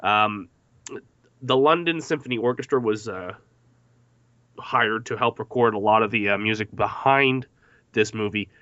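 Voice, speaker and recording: A man's voice: lowish voice, a little slow, long pauses, bright, forceful tone, no echo, lo-fi sound. A man hosting a podcast movie discussion, reading out facts from notes between questions to the panel. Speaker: podcast host.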